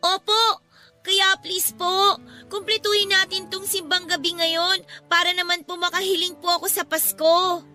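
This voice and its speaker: A woman's voice: very high voice, little pitch movement, a little slow, pushed and bright, heavily acted. A woman voicing a sweet, pleading little daughter in a radio drama, with a childlike, polite, whiny way of talking. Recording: music bed under the voice.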